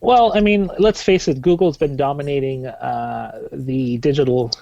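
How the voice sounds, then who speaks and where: A man in his thirties, originally from Tanzania, talks through his answers on a podcast. His voice is mellow and warm, and it sits close to a dry, slightly dull mic. He keeps talking fluently with plenty of "uh"s and restarts. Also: mid-range voice, unhurried, vocal fry, no room sound. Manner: fluent, with frequent "uh" fillers and restarts. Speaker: guest, marketing specialist.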